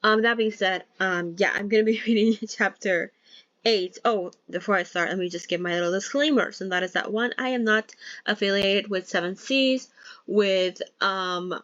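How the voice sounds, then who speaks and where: A woman's voice: mid-range voice, moderate pace, fairly bright tone, dry recording. A woman hosting a book-reading channel, chatting casually and rambling to her viewers with many restarts and ums before she reads aloud. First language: not Thai. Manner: chatty and rambling, frequent restarts and "um"s, laughs at herself. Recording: dull dry home recording.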